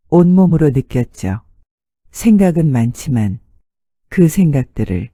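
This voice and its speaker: A man narrating a children's bedtime story gently, in short, soft phrases. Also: a little high, no room sound, studio quality.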